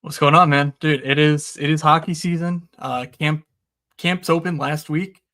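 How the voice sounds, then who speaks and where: A man's voice: a little high, fairly even intonation, moderate pace, bright, forceful tone. A man who is a recurring guest analyst, talking casually and with enthusiasm as he explains draft strategy, with frequent fillers.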